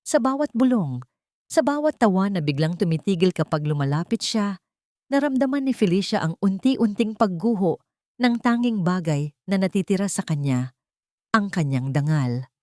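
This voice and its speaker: A woman's voice: on the low side, a little mellow, no echo, clean studio sound. A woman narrator reading a scripted, dramatic story as an audio drama.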